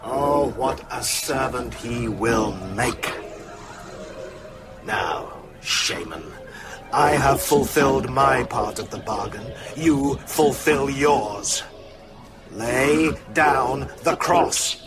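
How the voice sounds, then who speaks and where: An actor playing a mocking, cruel master vampire in a radio horror drama, with a gravelly voice and theatrical, taunting delivery. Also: male voice, medium pitch, extremely slow, loud music behind the voice. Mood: sly bargaining.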